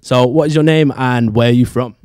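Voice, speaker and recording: A man's voice: medium pitch, steady tone, a little fast, darkish tone, smooth, dry recording, studio quality. A man in his twenties with a Manchester accent, chattily hosting and interviewing on a handheld microphone. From Manchester, England. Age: 20s. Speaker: studio show host. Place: dark studio theatre with tiered seats.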